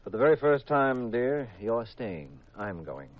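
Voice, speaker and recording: An actor playing a cool, self-assured private detective in a 1950s radio drama, composed and clipped on a vintage recording. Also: male voice, mid-range voice, big pitch swings, measured pace, highs cut off. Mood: firm, terse. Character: cool, self-assured married male private detective, narrating his case.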